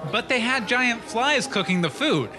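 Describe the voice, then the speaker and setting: A man playing a flippant, rattled, disgraced newsman in an audio comedy, excitable, wisecracking and stammering. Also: very high-pitched, a little slow, a crowd in the background. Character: disgraced male fake-news journalist, flippant and rattled. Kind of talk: scripted audio comedy acting. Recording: music under the voice.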